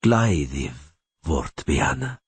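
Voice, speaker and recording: Man acting a slow, calm elf commander. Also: a bass voice, slightly rough, no room sound, pitched below the performer's own voice, heavily acted. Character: calm, beautiful fair-haired male elf rider, commanding.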